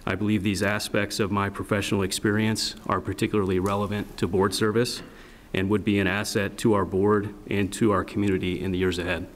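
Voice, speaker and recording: A man in his thirties giving a formal, measured opening statement as a school board candidate. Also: fairly low pitch, little pitch movement, long reverb tail. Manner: measured, formal, businesslike delivery.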